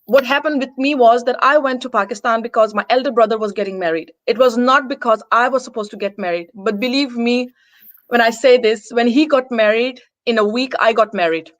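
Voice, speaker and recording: Higher-voiced woman from Denmark. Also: fairly quick, no room sound. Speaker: interview guest. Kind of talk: personal account in casual interview.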